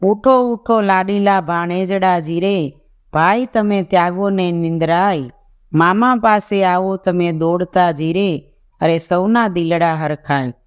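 A narrator voicing a saintly royal brother in a folk tale, caring and gently commanding, at times reciting verse. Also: medium pitch, slow, clear, resonant tone, lightly acted. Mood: calling tenderly.

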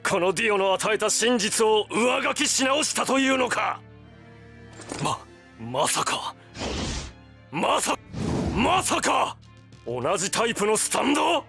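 A godlike vampire villain from an anime game, high-pitched for a man and swinging widely. He declaims slowly and imperiously, gloating, with music loud behind him. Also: big pitch swings, vocal fry, heavily acted. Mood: shaken, defiant. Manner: theatrical, imperious, drawn-out declamation with shouted outbursts. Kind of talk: anime video game cutscene acting.

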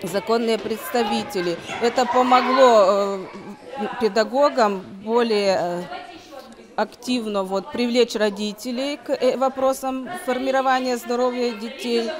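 A middle-aged woman giving an interview explanation as kindergarten staff, halting and often correcting herself. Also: fairly high pitch. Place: large kindergarten gym, indoors. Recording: children and bustle in busy hall. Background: group of children active in the hall.

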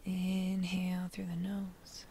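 A woman guides a meditation in a soft, hushed, breathy voice that sits fairly low. She speaks very slowly, in short gentle phrases with pauses between them. Faint ambient noise lies behind her. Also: completely flat delivery. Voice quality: breathy, hushed, near-whispered. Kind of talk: slow guided meditation narration.

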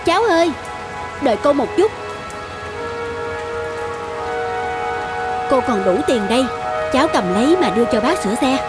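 Casting: compassionate woman passer-by, gentle and persuasive with expressive pitch, cartoon drama. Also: fast, loud music behind the voice. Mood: caring. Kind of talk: cartoon dialogue.

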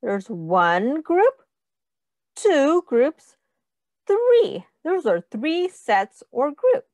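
High, sing-song woman reading to children. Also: sing-song, drawn-out words, darkish tone, no echo. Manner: sing-song, encouraging, child-directed, asking questions. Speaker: teacher reading a picture book aloud. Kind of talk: children's read-aloud with counting prompts.

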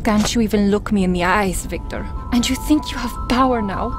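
A woman's voice: mid-range voice, brisk, lightly acted. A woman playing a hurt, reproachful young woman in a dramatic comic adaptation. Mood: bitter reproach. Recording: voice under loud music.

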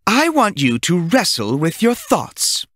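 A man voicing a stern, bony older female teacher who speaks slowly and insistently. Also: high-pitched, wildly swooping pitch, a bit bright, no echo, studio quality, pitched above the performer's own voice, exaggerated voice.

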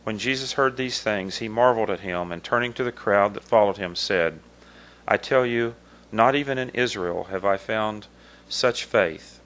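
A man serving as liturgist, reading a Bible passage aloud in a small church in a slow, measured way. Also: lowish voice, a bit bright, poor audio. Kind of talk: scripture reading. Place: small church sanctuary, at a pulpit.

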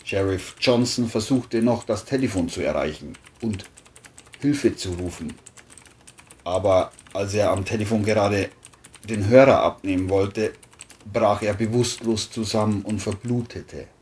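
A woman's voice: very deep voice, a little slow, a little mellow, slight background noise. A woman narrating a scripted crime story.

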